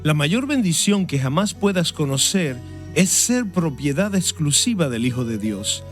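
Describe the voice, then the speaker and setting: A male pastor with a fairly high voice preaching in an earnest, measured way. His pitch rises and falls expressively through each phrase. Soft music plays faintly underneath. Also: big pitch swings. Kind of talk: sermon and interview answers.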